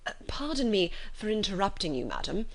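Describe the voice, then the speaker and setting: A woman's voice: medium pitch, very animated, a little fast. Lady doing a pompous self-satisfied young gent from an old novel, stiff, wordy, lilting. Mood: stiffly offended.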